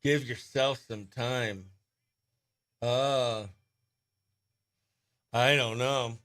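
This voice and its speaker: A man hosting a radio show, chatting in an informal, excitable, slangy way. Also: medium pitch, drawn-out words. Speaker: radio host. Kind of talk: casual behind-the-scenes chat and upbeat radio interview.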